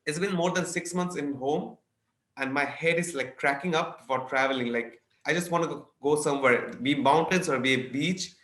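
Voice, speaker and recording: A man's voice: fairly high pitch, moderate pace, a bit bright. Young Indian man, roomy remote audio. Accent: Indian English. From eastern India. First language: Bengali. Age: 20s. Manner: chatty, enthusiastic storytelling. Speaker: podcast guest. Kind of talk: casual interview chat.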